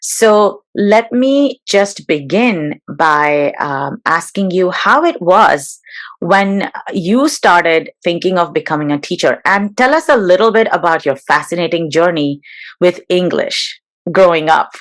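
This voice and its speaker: A woman hosting a webinar over a video call, introducing and interviewing a guest in a measured, slightly formal way with occasional fillers. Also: fairly low pitch, lively intonation. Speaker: webinar host.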